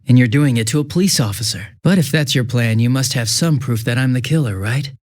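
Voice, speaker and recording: An actor playing a smug, two-faced young police detective in a video game, casual and sarcastic with a taunting, cruel edge. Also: male voice, medium pitch, brisk, natural voice. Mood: scornful, evasive. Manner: casual, sarcastic, taunting, shifting from breezy to menacing. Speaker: police officer.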